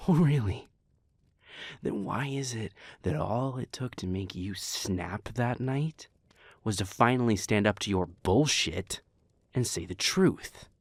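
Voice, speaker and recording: A man playing a resentful, hot-tempered vampire with a husky voice in a scripted audio drama. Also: lowish voice, expressive, takes it slowly, no room sound, full character voice. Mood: accusing. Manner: combative, foul-mouthed. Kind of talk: scripted audio roleplay acting.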